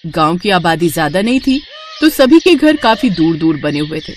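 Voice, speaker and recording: Woman storyteller, cartoon moral story narration, lively pitch swings, faint noise behind. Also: normal speed.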